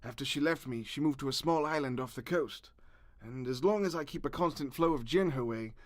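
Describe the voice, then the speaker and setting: A man's voice: medium pitch, brisk, natural voice. A man playing a pompous, callous, rich newspaper owner with a grandiose manner in a comedic audio drama. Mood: self-justifying.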